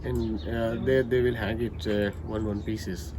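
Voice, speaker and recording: A man's voice: fairly low pitch, flat delivery, unhurried, very noisy. A man with an Indian accent politely explaining his craft to a visitor, as a village craftsman. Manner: polite, addressing the listener as sir.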